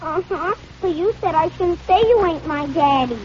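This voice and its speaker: A very high, childish little girl's voice, stubborn and contrary, with blunt slangy talk. It is radio comedy acting on a muffled, heavily noisy 1940s recording. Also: expressive, moderate pace. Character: stubborn, bratty young orphan girl.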